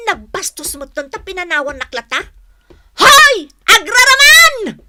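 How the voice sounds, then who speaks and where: An actress playing a quarrelsome, sharp-tongued wife in a comic radio drama, with a Filipino accent and an indignant, scolding manner. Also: female voice, extremely high pitch, very animated, unhurried, very noisy, exaggerated voice. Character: quarrelsome, sharp-tongued neighbourhood wife, quick to take offence.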